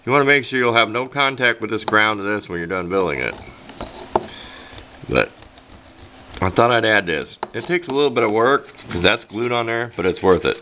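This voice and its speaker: A man's voice: on the low side, expressive, moderate pace, pauses often. A folksy, plain-spoken man giving a casual hands-on tutorial at a workbench, picked up by a camera microphone. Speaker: DIY radio hobbyist demonstrating. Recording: muffled phone-like audio, background noise.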